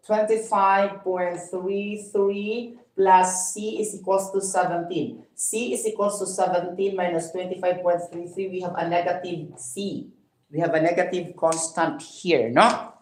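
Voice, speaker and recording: Filipino woman teaching math in an echoey room. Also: on the low side. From Philippines. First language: Cebuano. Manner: explains step by step, adds tag questions like "no?" and "okay?". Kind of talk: livestream math lesson.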